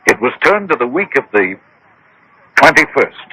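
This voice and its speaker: Anxious, husky gentleman, swooping intonation. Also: medium pitch, very animated, moderate pace, no echo, heavily acted, rough recording. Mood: earnest, awed. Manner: earnest, formal, flustered. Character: anxious, credulous, well-mannered wealthy male client. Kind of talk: vintage radio mystery drama acting. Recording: old radio drama broadcast.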